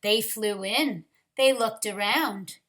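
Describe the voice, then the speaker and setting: Slow, bright-voiced woman at children's storytime. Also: medium pitch, slight background noise. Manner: deliberate, addressing children. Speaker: kindergarten storytime teacher. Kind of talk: read-aloud storytime for young children.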